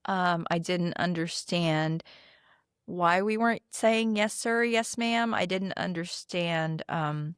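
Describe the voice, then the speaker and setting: A woman's voice: lowish voice, takes it slowly, smooth, no echo, clean studio sound. A middle-aged woman from the Philippines whose first language is Tagalog, speaking as a guest in a conversational interview, earnest, with frequent "um"s and light laughs.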